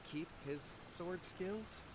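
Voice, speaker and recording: A man's voice: sits high, drawn-out words, noisy background. Anxious, flustered young guy. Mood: worried, explaining. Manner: pleading, earnest, easily flustered. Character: anxious, guilt-ridden young man, scheming yet cowardly. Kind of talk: anime video game voice acting.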